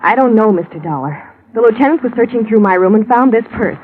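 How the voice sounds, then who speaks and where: A woman's voice: fairly high pitch, expressive, brisk, phone-quality sound, lightly acted. A woman playing an earnest, indignant young woman in a 1950s radio drama, speaking properly and emphatically. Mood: troubled. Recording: thin, noisy old radio recording.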